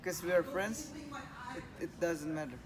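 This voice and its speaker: Higher-voiced young Indonesian man. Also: moderate pace, background noise. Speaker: interviewee. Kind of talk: casual interview answers. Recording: crowd in background.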